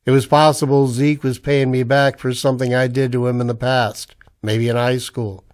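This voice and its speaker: A man's voice: mid-range voice, little pitch movement, normal speed, close to an everyday voice. A man playing a wary, hard-up army-veteran husband in a radio drama, with a sardonic, colloquial delivery. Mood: wry, uneasy. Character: broke, wary army-veteran husband narrating his noir misadventure. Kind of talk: old-time radio drama acting and first-person narration.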